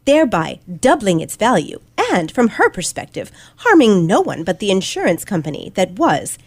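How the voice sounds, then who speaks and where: A woman playing a confident, sharp teenage girl detective in an old-fashioned radio play, with precise, theatrical delivery. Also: medium pitch, sing-song, normal speed, no room sound. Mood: explaining, relishing. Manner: theatrical, precise, dramatic emphasis.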